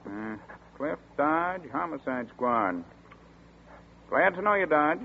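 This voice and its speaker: An actor playing a chatty small-town sheriff's deputy who talks in a folksy rural dialect, in 1940s radio acting. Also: male voice, on the high side, sing-song, slow, gravelly, heavily acted. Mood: gruff. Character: folksy drawling small-town male deputy, middle-aged. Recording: tinny scratchy 1940s radio broadcast.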